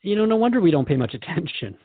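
A man's voice: high-pitched, very animated, fast talker, dry recording, phone-quality sound. A man hosting a reflective spiritual conversation, asking earnest questions as he thinks aloud. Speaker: podcast host questioning a spiritual guide.

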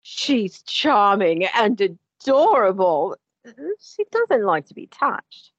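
An actress playing a nosy, impulsive middle-aged daughter who defends herself with a laugh, in an audio drama. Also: female voice, medium pitch, big pitch swings, slow pace, no room sound. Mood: defensive. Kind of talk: amateur audio drama acting. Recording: dull lo-fi dry recording.

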